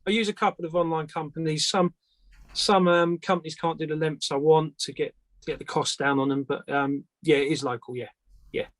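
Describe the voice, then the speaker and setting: A man's voice: on the high side, steady tone, a little fast, dry recording. A man who makes antennas, answering questions casually as a guest in a plain-spoken, hesitant way. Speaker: antenna maker, guest speaker.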